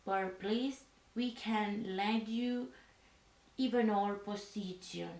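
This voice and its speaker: A woman voicing a female resistance leader in pajamas, speaking softly and haltingly, with many hesitant fillers, in an ASMR roleplay. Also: mid-range voice, reverberant, far from the mic. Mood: earnest. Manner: halting, slow, with hesitant fillers and a foreign-sounding phrasing. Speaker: leader of a sleeper resistance unit. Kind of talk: soft-spoken ASMR roleplay.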